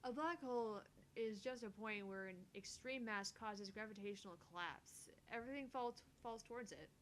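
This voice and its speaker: Woman acting earnest, higher-voiced young female scientist. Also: moderate pace, natural voice. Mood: earnest explaining. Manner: stammering, earnest, explanatory. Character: flustered, indignant young female scientist explaining physics. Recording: faint noise with low rumble.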